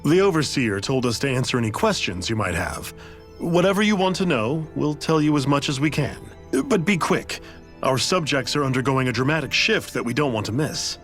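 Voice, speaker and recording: An actor playing a curt, absent-minded space station scientist in a video game. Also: male voice, mid-range voice, animated, a little fast, fairly bright tone, faint music behind, natural voice. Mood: brisk. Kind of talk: video game cutscene acting.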